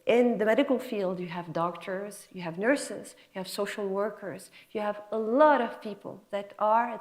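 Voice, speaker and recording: A woman's voice: mid-range voice, a little mellow. A middle-aged woman gives a motivational lecture to students in an emphatic, conversational, encouraging way. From Lebanon and France. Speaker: physician scientist giving a guest lecture.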